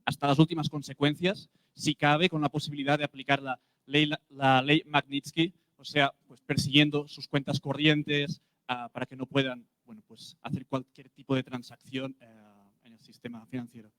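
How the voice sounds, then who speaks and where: A man's voice: mid-range voice, level tone, moderate pace, a bit bright, dry recording, poor audio. A man from Catalonia with a Catalan accent, an independent journalist putting a question at a press conference. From Catalonia, Spain.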